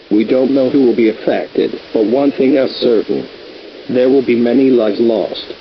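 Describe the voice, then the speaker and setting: A man acts as a grave president of the United States in an emergency drama. He speaks in formal, measured oratory at a middling pitch. The broadcast sounds dull and lo-fi, with a faint hiss behind him. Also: lightly acted. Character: solemn male US president addressing the nation under attack. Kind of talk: formal scripted emergency address.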